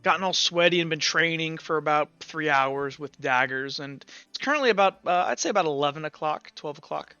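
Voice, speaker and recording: A young man running a tabletop game, narrating loosely and padding his sentences with fillers. His voice is bright and crisp but comes through a dull lo-fi mic in a dry space. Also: fairly high pitch, lively intonation, a little fast, hardly any pauses, no room sound. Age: young adult. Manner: loose and hedging, full of kinda and uh. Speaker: game master.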